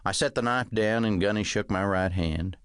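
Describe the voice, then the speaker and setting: Low-voiced man narrating a comic novel. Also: normal speed, fairly bright tone, clean tone, dry recording, clean studio sound. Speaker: audiobook narrator reading own comic novel. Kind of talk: scripted first-person narration.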